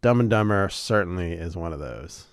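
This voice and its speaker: A man with a lowish voice hosts a loose, casual movie chat, laughing often and swearing freely as he retells scenes. The recording is dry and close, with no room sound around him. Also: animated, normal speed, smooth, no room sound. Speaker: podcast host.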